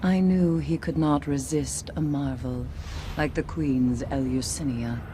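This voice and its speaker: Haunted female survivor: grave, low-voiced, unhurried game character, mournful and formal, ambient noise behind. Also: steady tone, dark tone. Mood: solemn. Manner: solemn, deliberate, formal phrasing. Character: solemn grieving female survivor haunted by lost friends. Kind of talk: video game cutscene acting.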